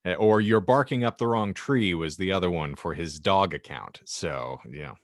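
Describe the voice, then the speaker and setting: A man's voice: low voice, normal speed. A man co-hosting a comedy podcast in casual banter, with wordy, mock-eloquent phrasing. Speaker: podcast co-host.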